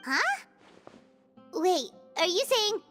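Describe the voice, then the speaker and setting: A woman voicing a tiny, perky, childlike fairy companion with a squeaky voice in a video game. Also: sing-song, very slow, fairly bright tone, soft background music, exaggerated voice. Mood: surprised.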